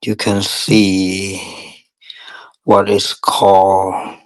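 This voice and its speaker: A man teaching guided meditation in short, measured phrases with long pauses between them. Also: deep voice, completely flat delivery, low-quality recording.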